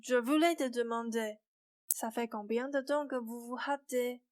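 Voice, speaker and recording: A woman playing a gentle, frank young fairy-tale heroine in a student radio play. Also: on the high side, a little slow, close to an everyday voice. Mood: gentle, curious.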